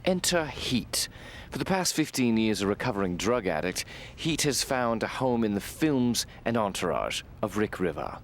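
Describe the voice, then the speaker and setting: A man reading scripted mock-documentary narration in a deliberate, mock-serious manner. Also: lowish voice, sing-song, slight background noise. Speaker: documentary narrator, voice-over artist.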